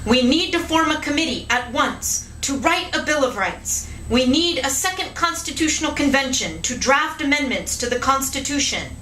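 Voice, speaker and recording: A woman's voice: high-pitched, animated, moderate pace, hardly any pauses, sharp tone. A woman playing an indignant, declamatory male Virginia delegate in a puppet show. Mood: indignant, insistent. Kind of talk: scripted puppet show acting. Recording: roomy, faint background noise.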